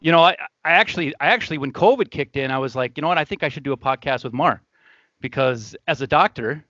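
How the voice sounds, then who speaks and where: A man's voice: fairly high pitch, animated, fast talker, pushed and bright, no echo, muffled recording. A man hosting a podcast in a casual, earnest, conversational way. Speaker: podcast host.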